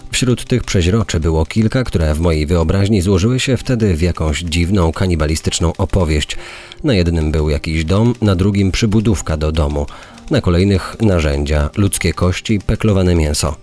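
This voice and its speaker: A man with a very deep voice reads a quoted recollection. The words are scripted and delivered as testimony within a documentary narration. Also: normal speed, a little mellow.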